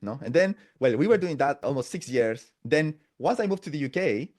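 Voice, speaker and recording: Brief: male guest, 40s, somewhat high voice, chatty and halting with tag questions. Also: lively intonation, a little mellow. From Latin America. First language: Spanish. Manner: restarts a lot, tags phrases with "no?" and "right?". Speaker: podcast guest. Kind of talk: casual interview storytelling.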